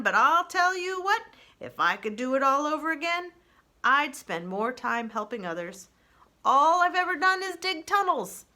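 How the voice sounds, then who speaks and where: A woman's voice: sits high, bright, forceful tone, voice pushed up, heavily acted. A woman voicing a blunt, crusty old female groundhog in a children's storybook, giving folksy, emphatic little speeches.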